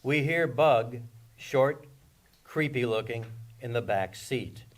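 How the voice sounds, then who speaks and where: Mid-pitched man narrating a screenplay. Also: slow. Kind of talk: scripted narration of stage directions at a table read.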